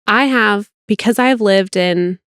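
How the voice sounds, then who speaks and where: A chatty middle-aged woman with a plain General American accent, co-hosting a podcast from behind a close microphone. Her talk is expressive and self-deprecating, pitched in a mid range. The sound is dry, with almost no room echo. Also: measured pace, clean tone. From United States. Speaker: podcast co-host. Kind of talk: casual chat.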